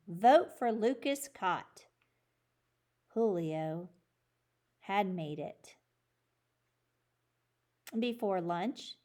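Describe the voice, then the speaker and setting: Slow-paced woman reading a children's story. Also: medium pitch, darkish tone. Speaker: children's book reader. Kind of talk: read-aloud storytelling.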